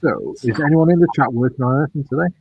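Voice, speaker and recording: A man's voice: mid-range voice, fast, creaky, husky, phone-quality sound. A man hosting a live stream, casually reading out chat messages with chuckles and jokes. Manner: chuckling, jokey.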